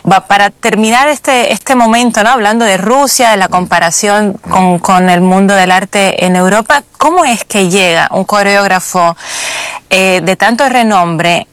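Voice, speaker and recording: A woman's voice: medium pitch, measured pace, no room sound. A woman interviewing a guest in a formal, polite tone, asking long layered questions.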